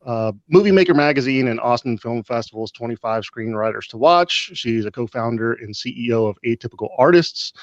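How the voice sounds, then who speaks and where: A man's voice: medium pitch, dry recording, highs cut off. A man hosting a streamed interview over a video call, upbeat, with frequent hesitations. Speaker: host.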